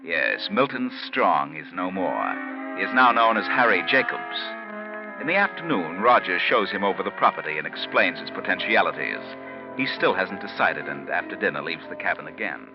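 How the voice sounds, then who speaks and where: An actor as a knowing, ominous narrator, deliberate and theatrical, in a 1940s radio mystery. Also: male voice, sits low, sing-song. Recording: muffled 1940s radio broadcast. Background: music.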